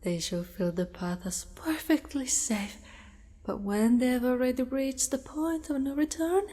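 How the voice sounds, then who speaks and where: In a long reverb, a woman acts out a possessive spider-monster woman for an ASMR roleplay. She speaks very slowly, often dropping to a whisper. Her manner is menacing yet doting, coaxing and deliberate. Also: sits high, mellow. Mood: gloating, predatory. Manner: slow, deliberate, sinister coaxing. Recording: long reverberant cave-like echo.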